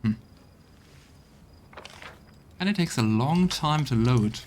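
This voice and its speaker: A man's voice: medium pitch, expressive, slow, a little mellow, slight background noise. A man giving casual game commentary as a let's play streamer, speaking into a close mic. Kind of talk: casual game commentary mixed with reading dialogue aloud. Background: game music.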